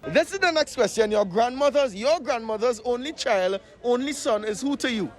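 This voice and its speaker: A man's voice: very high voice, expressive, normal speed. A man with a Trinidadian accent hosting a comic street quiz, coaxing people along with short repeated phrases. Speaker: street interviewer and show host. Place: outdoors on a street.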